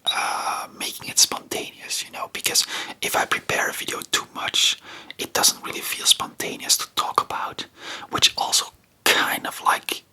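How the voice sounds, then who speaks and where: A man's voice: moderate pace, pushed and bright, poor audio. Man whispering rambling ASMR chat. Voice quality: breathy unvoiced whisper. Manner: rambling, hesitant, spontaneous. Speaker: ASMR video host.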